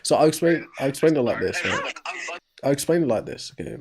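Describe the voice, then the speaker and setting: A man's voice: mid-range voice, lively intonation, quick pace, crowd noise. A man hosting a call-in talk show, debating a caller and cutting in with rhetorical questions. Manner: argumentative, cuts in with rhetorical "right?".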